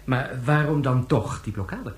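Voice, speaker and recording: An actor playing a polite, probing news reporter in a science fiction radio play, questioning with formal deference. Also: male voice, medium pitch, lively intonation, normal speed, close to an everyday voice. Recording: roomy, echoing sound.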